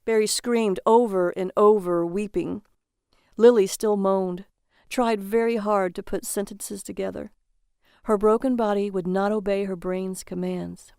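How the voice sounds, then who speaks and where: A woman's voice: mid-range voice, fairly even intonation, measured pace, gravelly, dark tone, clear, resonant tone, no room sound, studio quality. A woman reading a scripted short story as the narrator of audio fiction.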